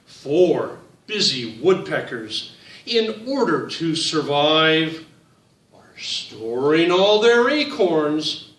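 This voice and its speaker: A man reading his picture book aloud to children, in a slow, sing-song storytime voice that speaks to them directly. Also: fairly high pitch, very animated, a bit bright, reverberant, recorded from a distance.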